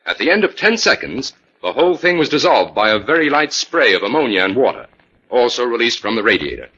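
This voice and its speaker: A man's voice: medium pitch, very animated, brisk, sharp tone, breathy edge, exaggerated voice. An actor playing a confident, analytical male scientist-detective, explaining with authority in 1940s radio style. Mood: assured. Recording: dull 1940s radio recording.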